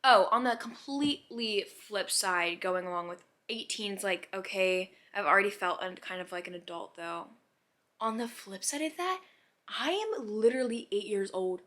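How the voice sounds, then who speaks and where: A young woman of about eighteen talks casually to her viewers in a bright, clear-edged voice at a middling pitch. She rambles reflectively, questioning her own thoughts as she goes and peppering them with "like". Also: normal speed. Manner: musing, self-questioning, heavy use of "like". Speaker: vlogger.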